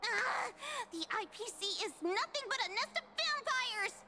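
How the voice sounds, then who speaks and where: A woman's voice: very high voice, animated, measured pace, background noise. An actress playing a resentful female townsperson in a video game crowd. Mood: bitter. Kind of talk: game voice acting.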